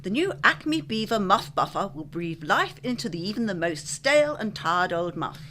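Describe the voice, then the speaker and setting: A woman acting in a spoof 1940s radio advert with a brisk, cheerful sales-pitch delivery. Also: sing-song, bright, forceful tone, slight background noise. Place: studio.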